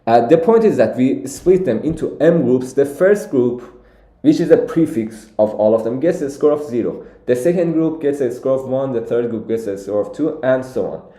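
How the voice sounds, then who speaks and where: A man's voice: mid-range voice, normal speed, mellow, roomy sound. A young man originally from Iran, walking through contest solutions on a live stream and thinking aloud with many fillers and restarts. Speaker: competitive programming streamer.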